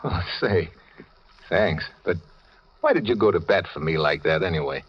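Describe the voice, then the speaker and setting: A man's voice: deep voice, lively intonation, normal speed. An actor playing a wary, desperate American man on the run, talking in terse hard-boiled style in 1940s radio drama. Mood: puzzled. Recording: dull, lo-fi old radio recording.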